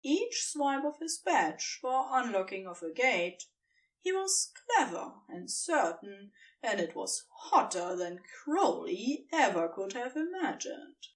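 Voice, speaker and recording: Female narrator for fiction, fairly high and very melodic, expressive storybook read, recorded a little away from the mic. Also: slow pace, distant mic.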